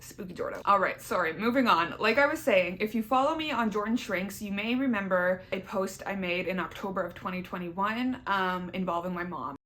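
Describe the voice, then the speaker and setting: A woman's voice: mid-range voice, a little fast, hardly any pauses, fairly bright tone, a little hiss or hum. Chatty young Canadian woman vlogger. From Southern Ontario, Canada. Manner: chatty, candid, emphatic with repeated words. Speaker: YouTuber. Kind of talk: casual personal update to camera.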